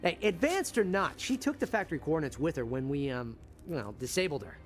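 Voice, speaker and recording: A man playing an eager, brash and loyal young hero in an animated video game, with casual, youthful phrasing. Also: fairly high pitch, brisk, soft background music. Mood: concerned.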